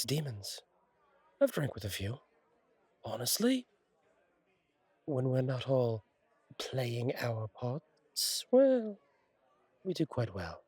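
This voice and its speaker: Casting: male actor for an easygoing fallen angel barkeep, mid-pitched and husky, wry and kind, intimate conversational roleplay. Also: dry recording. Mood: wry, candid. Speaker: bartender. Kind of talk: intimate audio roleplay, one-sided conversation.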